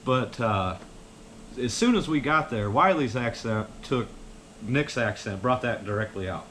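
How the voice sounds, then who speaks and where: A man's voice: medium pitch, lively intonation, unhurried, fairly bright tone. Male casual storyteller, mid-range voice, loose folksy delivery, light ambient noise behind. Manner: folksy, meandering, chuckles at own stories. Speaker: vlogger telling personal stories.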